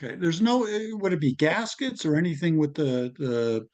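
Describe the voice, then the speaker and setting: A man on a group call, casually asking for advice in a tentative, halting way. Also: a little high, lively intonation, a little mellow. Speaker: club member asking for advice. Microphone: conference call.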